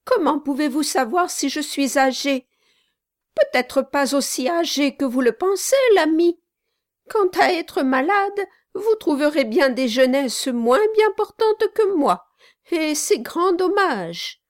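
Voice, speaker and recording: A woman reading aloud, voicing a gruff, trembling old male gravedigger. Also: sits high, expressive, slow, pitched above the performer's own voice. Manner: gruff then gentle, trembling. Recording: dry close audiobook recording.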